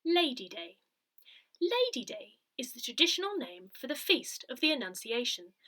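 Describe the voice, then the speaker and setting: A woman reading written articles aloud in a measured, clearly phrased way, as for an audio newsletter. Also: on the high side, bright, forceful tone. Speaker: newsletter reader.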